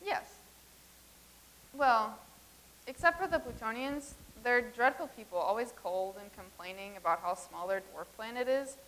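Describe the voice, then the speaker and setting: A woman's voice: a little high, normal speed, fairly bright tone, slight background noise, close to an everyday voice. A woman reading the part of a visitor from the far future in a staged comedy reading, explaining everything in an earnest, matter-of-fact way.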